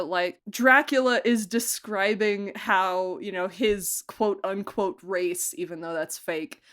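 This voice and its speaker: A woman's voice: medium pitch, a bit bright. A woman speaking in a mid-range voice, chatting freely as an interviewee. She rambles a little, laughs often and circles back on herself with restarts and fillers. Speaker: executive producer. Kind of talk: casual interview.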